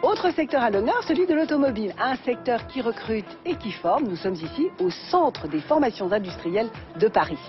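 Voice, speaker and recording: A woman's voice: fairly high pitch, sing-song, fairly quick, few pauses. A woman narrating a scripted TV news report as a reporter. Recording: slightly dull sound over music. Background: background music.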